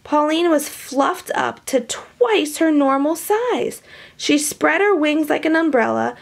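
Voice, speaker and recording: Woman, children's storybook reader, high voice, unhurried, animated, hushed asides. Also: gravelly. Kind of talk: expressive read-aloud for young children.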